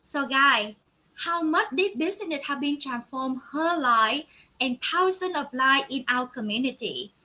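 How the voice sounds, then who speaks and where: A woman hosting an online business pitch, speaking emphatically and repetitively in non-native grammar, like an enthusiastic testimonial. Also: animated, a little slow, sharp tone, poor audio. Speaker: host of online business promotion.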